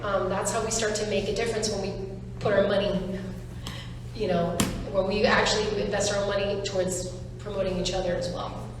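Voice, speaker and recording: A woman's voice: medium pitch, little pitch movement, a little fast, background noise. Woman, casting director, earnest panel talk with restarts; distant mic in a very echoey hall. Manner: earnest, thoughtful, lots of fillers and restarts. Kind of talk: panel discussion.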